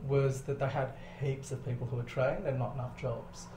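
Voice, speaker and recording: A man speaks as an interview guest in a relaxed, explanatory way with the odd swear word. His voice sits at a middling pitch. The recording is echoey, with some faint noise behind it. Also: moderate pace. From born in Zambia, lived in Botswana as a child, then grew up in Australia. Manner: explanatory, articulate, swears casually. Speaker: podcast guest. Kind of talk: casual interview storytelling. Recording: roomy echo, clear background noise.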